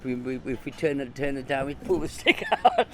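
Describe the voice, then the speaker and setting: A man's voice: medium pitch, sing-song, fairly quick. A man who cooks in his own restaurant, talking casually and enthusiastically in an interview. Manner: enthusiastic, informal. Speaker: restaurant owner and cook. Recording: loud ambient urban noise.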